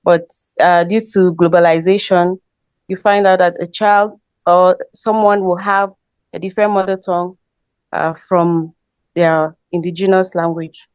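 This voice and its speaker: A woman with a Nigerian English accent, a linguist on a panel, explaining in a measured way with fillers over a lo-fi online call. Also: lowish voice, flat delivery, darkish tone, clean tone, dry recording.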